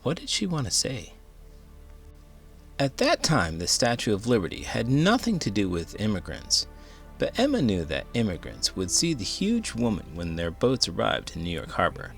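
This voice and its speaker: A man's voice: lowish voice, huge pitch swings, moderate pace, creaky, soft background music. A man reading a children's book aloud as a host, with dramatic, emphatic delivery. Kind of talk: expressive storybook read-aloud.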